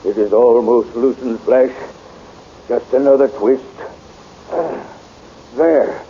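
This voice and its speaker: A man's voice: medium pitch, steady tone, slow pace, muffled recording, slight background noise. A man playing a mighty lion-man prince in an old radio serial, speaking in archaic thee-and-thou phrases. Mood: stately. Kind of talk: 1930s radio acting.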